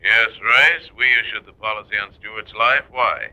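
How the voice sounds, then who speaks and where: A man playing a brisk, businesslike insurance executive on the telephone in 1940s radio drama style. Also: lowish voice, sharp tone, low-quality recording.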